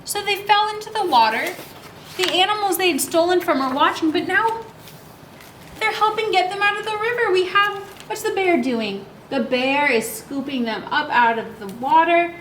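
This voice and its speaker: Bright, high-voiced woman hosting children's story time. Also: moderate pace, a little hiss or hum. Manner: animated, child-directed, asks and answers own questions. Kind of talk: read-aloud and craft instruction for young children.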